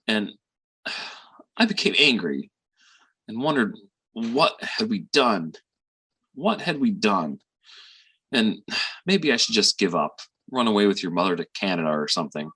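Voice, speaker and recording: An actor playing a weary, tender middle-aged father and physician in a reflective, halting stage monologue. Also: fairly low pitch, big pitch swings, bright, forceful tone, slightly rough, heavily acted. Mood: grave, earnest. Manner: reflective, halting with sighs and pauses.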